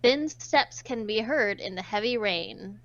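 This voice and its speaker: A woman's voice: mid-range voice, lively intonation, unhurried, fairly bright tone, no room sound, dull audio. A woman streamer reading narration aloud in a casual way, dropping in playful, jokey asides as she goes. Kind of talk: casual group chat mixed with read-aloud narration.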